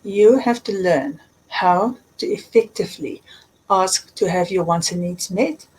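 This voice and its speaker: A woman's voice: on the low side, unhurried, darkish tone, slightly rough, rough recording. A woman with a South African accent, a coach giving earnest advice in an interview. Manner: earnest, advisory, repeats words. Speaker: guest, transformational coach. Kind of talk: interview answers, explaining.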